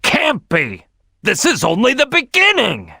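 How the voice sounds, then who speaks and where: Brash crocodile team boss for a game: gruff, boisterous, forceful, swooping delivery, very dry recording. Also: male voice, very high voice, wildly swooping pitch, unhurried, no room sound, full character voice. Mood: bossy, assured. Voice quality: gruff, gravelly. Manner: loud, boisterous, bossy. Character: big brash male crocodile detective, gruff team leader. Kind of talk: video game character acting.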